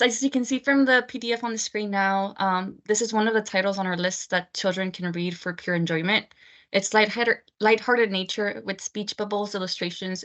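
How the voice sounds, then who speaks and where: A woman's voice: mid-range voice, fairly bright tone. A woman from Texas reading a scripted book presentation over a video call. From United States (Texas). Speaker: book selection editor. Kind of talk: prepared book talk presentation.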